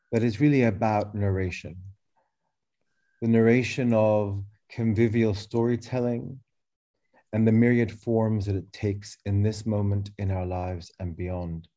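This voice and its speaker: A man whose first language is Arabic, reading a measured, lyrical spoken essay about a work he is presenting. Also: lowish voice, warm tone. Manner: measured, deliberate, lyrical phrasing. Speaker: artist presenting a sound work.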